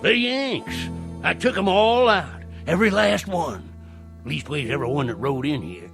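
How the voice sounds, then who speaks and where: A man's voice: on the high side, lively intonation, normal speed, gravelly, music in the background. An actor playing a sly, boastful old country man with a folksy Southern American drawl in an audio drama. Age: older. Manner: folksy drawl, cackling, taunting. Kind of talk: old-time radio-style drama acting.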